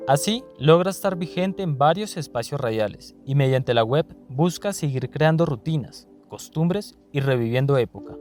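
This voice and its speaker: Colombian man, medium pitch, scripted documentary narrator, soft music behind.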